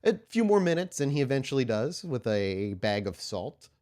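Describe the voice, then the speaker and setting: A man's voice: mid-range voice, big pitch swings, normal speed, darkish tone. A man narrating a tabletop role-playing game as its storyteller, in a dramatic style.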